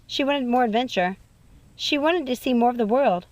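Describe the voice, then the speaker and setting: A woman's voice: fairly high pitch, normal speed, dry recording. A woman narrating a storybook expressively as an audiobook reader.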